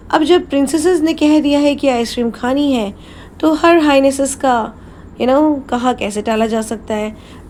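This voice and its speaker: A woman's voice: high-pitched, darkish tone. A woman narrating a family vlog, mixing English phrases into her speech. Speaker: family vlogger. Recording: background noise behind voice.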